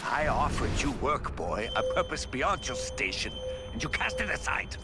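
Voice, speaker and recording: An actor playing a bitter, haughty old slaver in a video game, formal, pompous and contemptuous. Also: male voice, mid-range voice, very animated, moderate pace, creaky, very noisy. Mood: cold contempt. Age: older. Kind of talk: cinematic video game acting.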